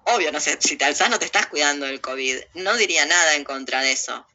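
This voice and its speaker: Argentine woman, very sharp bright voice. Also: deep voice, expressive, quick pace, highs cut off. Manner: ironic, provocative, digressive. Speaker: interview guest with own video channel. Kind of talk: opinionated casual conversation.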